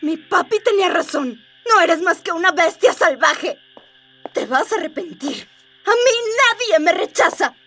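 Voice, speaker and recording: Casting: spoiled alien princess, very high, drawn-out delivery, flirty and melodramatic, radio comedy. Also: female voice, lively intonation, pushed and bright, distant mic, faint music behind, full character voice. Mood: indignant, imperious. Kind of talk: comedic radio drama acting.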